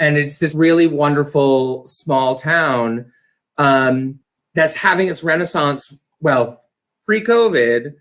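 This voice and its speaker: A man with a mid-pitched voice speaks as a guest in a casual interview. He is enthusiastic and informal, rambling from one thought into the next. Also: fairly bright tone. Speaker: interview guest, community theater director.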